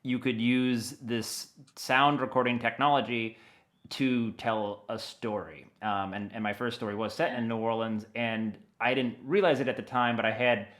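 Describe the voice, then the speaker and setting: Middle-aged American man, podcast guest, mid-pitched, informal slangy storyteller. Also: normal speed. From Maine, United States. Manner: informal, animated storytelling with slang and quoted thoughts. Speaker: interview guest, audio dramatist. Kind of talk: casual chat.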